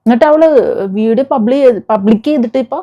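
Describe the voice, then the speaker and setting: Female video commentator, Kerala Malayalam accent, mellow timbre, indignant and informal, on a dull recording. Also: fairly high pitch, quick pace. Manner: indignant, scolding, with rhetorical questions. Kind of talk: informal reaction commentary to viewers. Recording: dull, muffled lo-fi sound.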